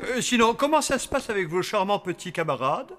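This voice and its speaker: An actress playing a theatrical zombie parent who runs a monster hotel, in a grand, drawn-out children's cartoon style. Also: female voice, medium pitch, sing-song, music in the background, lightly acted. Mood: breezy amusement. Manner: grand, drawn-out, given to laughter. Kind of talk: French-dubbed children's cartoon acting.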